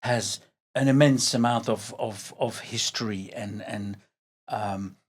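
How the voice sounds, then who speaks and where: A man's voice: on the low side, slow. A man in his sixties from Belgium with a Belgian accent, chatting as a podcast guest, repeating words as he searches and laughing easily. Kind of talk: casual interview chat.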